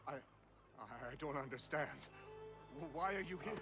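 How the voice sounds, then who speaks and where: An actor playing a proud, sharp-tongued captive fixer, indignant and emphatic in a dramatic game cutscene. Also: male voice, on the high side, lively intonation, moderate pace, faint music behind. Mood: bewildered.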